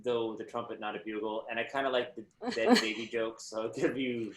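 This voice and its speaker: A man's voice: fairly low pitch, steady tone, fairly quick. A man in his thirties chatting casually as guest judge on a comedy quiz over a video call. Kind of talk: casual chat. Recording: echoey, noisy video call.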